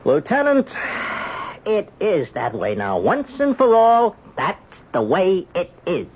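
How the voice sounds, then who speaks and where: An actor playing a stubborn, impatient ballistics man with a husky voice, emphatic and dismissive, in a radio drama. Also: high-pitched, wildly swooping pitch, takes it slowly, darkish tone, heavily acted. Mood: impatient, insistent. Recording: dull lo-fi old radio recording.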